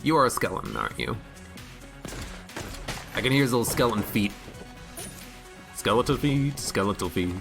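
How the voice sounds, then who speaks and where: A man commentating his own game stream, chatting casually and reading viewer comments aloud, his voice a little hoarse today. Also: medium pitch, wildly swooping pitch, normal speed, pauses often, music in the background. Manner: chatty, reads chat aloud, musing. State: slightly hoarse.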